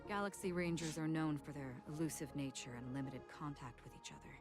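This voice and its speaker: Woman as low, solemn swordswoman, calm and formal. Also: close to an everyday voice. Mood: calm, earnest. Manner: measured, formal, polite. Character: calm, solemn, mysterious exiled swordswoman in a space fantasy. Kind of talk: scripted game voice acting. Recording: music behind the voice.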